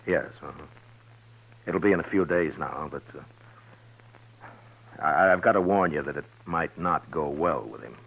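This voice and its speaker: An American man acting a gruff but humane, plain-spoken frontier marshal in a 1950s radio western. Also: rumbling low, moderate pace, pauses often, a bit bright, heavily acted. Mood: earnest, gentle. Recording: dull vintage 1950s radio recording.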